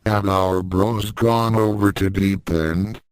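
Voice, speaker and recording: A man's voice: fairly low pitch, steady tone, slow, clear, resonant tone, no room sound. A man voicing a young woman, a sister, in storybook dialogue. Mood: worried. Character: worried young woman, morning-person sister. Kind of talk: storybook dialogue read aloud.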